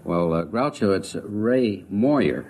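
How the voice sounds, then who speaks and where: A man's voice: fairly low pitch, lively intonation, takes it slowly, a little mellow, slight background noise. A man in his fifties answering a quiz host's questions hesitantly and politely, with many fillers, on an old 1950s radio broadcast. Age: 50s. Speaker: quiz show contestant.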